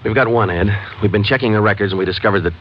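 An actor playing a methodical, matter-of-fact police detective in a 1940s radio drama. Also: male voice, on the low side, very fast, natural voice. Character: terse, methodical male police detective narrating the case. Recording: muffled, noisy 1940s radio recording.

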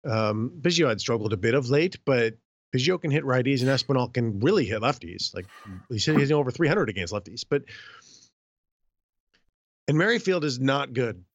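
Hoarse man in a casual chat. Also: mid-range voice, lively intonation, moderate pace, dry recording, highs cut off. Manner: throat-clearing, rambling, filler-heavy. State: hoarse after illness. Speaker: podcast co-host.

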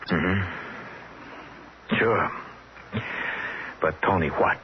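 A man's voice: low voice, very slow. A man playing a wry, wary ordinary fellow in a 1960s radio drama, with a dry, folksy, laconic way of talking. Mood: curious, wary. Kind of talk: 1960s radio drama acting, first-person narration and dialogue. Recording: dull, noisy old radio recording.

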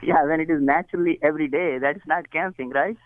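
A man's voice: on the high side, fast. A man from India with an Indian accent, a guest telling his story over the phone in an earnest, chatty way and laughing at his own jokes. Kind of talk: phone interview. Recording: tinny phone line.

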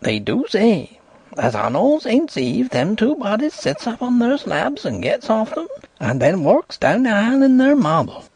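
Old rural housekeeper, placid and superstitious, lilting high voice with a husky edge, put on by a male narrator. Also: huge pitch swings, a little slow, a little mellow, no echo, full character voice. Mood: ominous. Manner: rustic dialect grammar, solemn warnings. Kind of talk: audiobook character voice.

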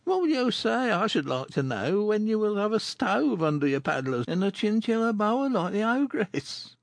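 A man voicing a rough male street tough in an audiobook, ironic and teasing. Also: high-pitched, sing-song, moderate pace, no echo, pitched above the performer's own voice, full character voice. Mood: mocking.

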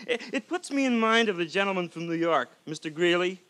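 In a vintage 1950s radio drama, a mischievous, bragging rascal. His high, piercing voice leaps all over the place. He declaims in florid, excitable flourishes, relishing every grand word. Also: brisk, clean tone. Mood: jaunty, bombastic. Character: flamboyant, bombastic, mischievous male rascal and braggart companion.

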